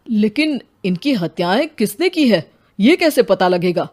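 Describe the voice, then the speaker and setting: A woman voicing a commanding senior male police officer in a cartoon, with firm, measured questions. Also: medium pitch, big pitch swings, darkish tone.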